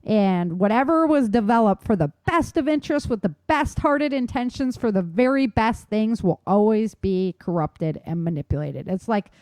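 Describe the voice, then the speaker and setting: A woman's voice: medium pitch, lively intonation, moderate pace, few pauses, darkish tone, dry recording. A woman co-hosting a podcast in casual conversation, speaking in an emphatic, opinionated way. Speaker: podcast co-host.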